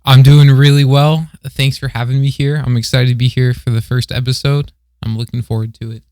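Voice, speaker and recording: Male podcast guest, average pitch, casual conversation, dry recording. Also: flat delivery, fairly quick, darkish tone, no room sound.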